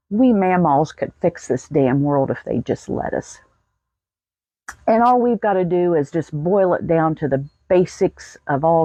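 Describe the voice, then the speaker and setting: A woman's voice: low-pitched, vocal fry, darkish tone, dry recording, poor audio. An American woman in her sixties telling a personal story in a folksy, plain-spoken way. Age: 60s. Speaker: vlogger.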